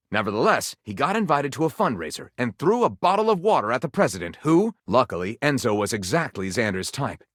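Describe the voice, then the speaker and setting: A man's voice: mid-range voice, a bit bright, dry recording, clean studio sound. A man playing a theatrical, tongue-in-cheek narrator of pulpy detective tales in a video game, arch and savoring every dramatic turn.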